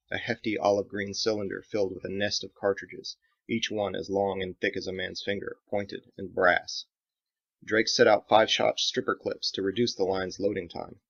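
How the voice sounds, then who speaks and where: A man's voice: fairly low pitch, gravelly, fairly bright tone. A man reading his own dramatic action novel as scripted audiobook narration, in a slightly dull recording.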